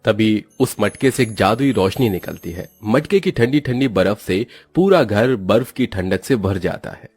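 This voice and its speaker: A man's voice: lowish voice, animated, normal speed, hardly any pauses, a little mellow, husky, no echo, faint music behind. A man giving scripted narration as the storyteller of a Hindi cartoon tale.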